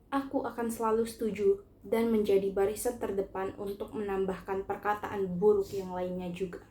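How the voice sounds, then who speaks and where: A teenage girl, a student reading a short story aloud in an expressive, earnest first-person style. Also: medium pitch, roomy sound. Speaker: student narrator. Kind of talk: scripted short story narration, read aloud.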